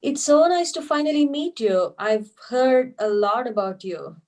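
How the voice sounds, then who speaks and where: A woman's voice: sits high, expressive, measured pace, a little mellow. A woman playing a wry, guarded fiancée in a scripted table read, with a dry, skeptical delivery. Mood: pleasantly wry. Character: wry, guarded young woman engaged to a spy.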